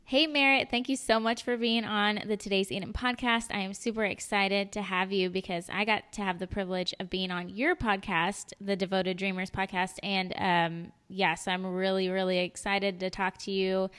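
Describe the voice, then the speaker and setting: Young woman host in her twenties, upbeat and chatty, smooth clear voice. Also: mid-range voice, little pitch movement, moderate pace, few pauses, a bit bright. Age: 20s. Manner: bubbly, enthusiastic, lots of fillers. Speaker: podcast host. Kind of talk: casual podcast interview.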